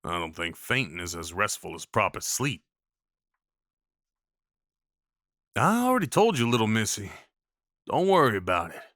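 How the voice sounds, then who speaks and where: A man's voice: lowish voice, very animated, a little fast, fairly bright tone, no room sound. Man playing a folksy Old West cowboy, close and dry. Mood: earnest, steady. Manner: folksy, reassuring, informal. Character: kindly rough-spoken Old West cowboy guide, protective and awkward. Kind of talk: intimate audio roleplay acting.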